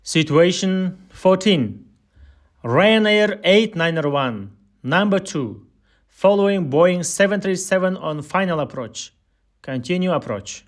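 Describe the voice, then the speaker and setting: Male instructor, high voice, unhurried and deliberate, reading scripted air traffic control phraseology for a listening drill. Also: expressive.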